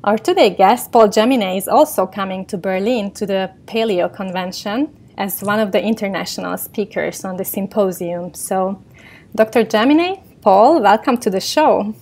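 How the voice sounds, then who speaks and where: A woman's voice: medium pitch, normal speed, darkish tone. A woman whose first language is Hungarian, hosting a health podcast and reading a formal introduction of her guest. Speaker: podcast host, nutritionist. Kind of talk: scripted introduction then interview.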